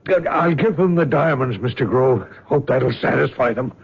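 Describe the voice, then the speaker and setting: A man's voice: medium pitch, moderate pace, gravelly, husky. An actor playing a frightened man handing over diamonds in a 1970s radio drama, speaking anxiously and pleadingly. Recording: dull old radio recording.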